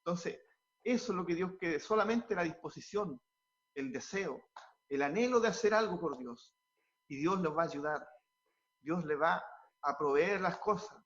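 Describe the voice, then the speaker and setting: A man's voice: a little high, moderate pace, long pauses, fairly bright tone, highs cut off. A man, a pastor, answering interview questions earnestly, often repeating words as he restarts, and sliding into a preaching tone.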